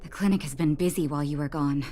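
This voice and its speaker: A composed, gracious clinic doctor from a video game, voiced by an actress, speaks soothingly in a somewhat low register. There is faint ambient noise behind her. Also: fast talker, mellow, natural voice. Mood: gracious, caring. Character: gentle, composed, caring adult female clinic doctor. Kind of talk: video game character dialogue.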